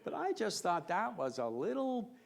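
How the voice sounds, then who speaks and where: A man with a notably high voice delivers a humorous storytelling speech on stage. He boasts with a straight, mock-serious air and leans on theatrical pauses for effect. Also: wildly swooping pitch, gravelly, crowd noise. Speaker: speech contest competitor telling a comic tall tale. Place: live on stage before a laughing audience.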